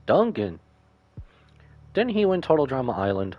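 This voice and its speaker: A man's voice: medium pitch, sing-song, moderate pace, highs cut off. A man live-streaming a casual reaction to a game, commenting in a playful, slangy way.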